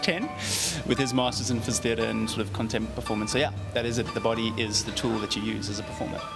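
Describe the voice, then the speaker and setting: A man, a young actor, answering interview questions in a calm, measured, polite way. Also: fairly low pitch, gravelly, loud music behind the voice.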